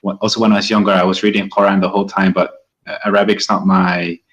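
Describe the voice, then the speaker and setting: Brief: Moroccan male caller, very low voice, halting and agreeable, dull lo-fi audio. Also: flat delivery. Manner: hesitant, agreeable, searches for words. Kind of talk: call-in conversation.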